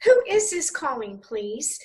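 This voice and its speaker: Woman acting a high-voiced, solemn telephone answerer. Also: very animated. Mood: questioning. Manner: measured, grave, formal. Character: solemn female household acquaintance answering the telephone. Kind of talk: old-time radio drama acting.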